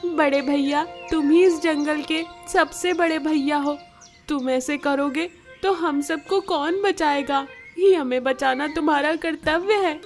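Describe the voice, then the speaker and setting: A woman's voice: high-pitched, measured pace, soft background music. A woman voicing a frightened, pleading small forest animal in a children's story. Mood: worried, pleading.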